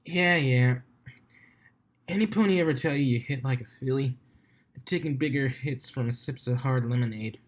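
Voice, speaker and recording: A man's voice: medium pitch, normal speed, lightly acted. A man voicing a brash, cocky female pony DJ with boisterous, slangy showmanship, in a storybook reading. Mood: grumbling, teasing.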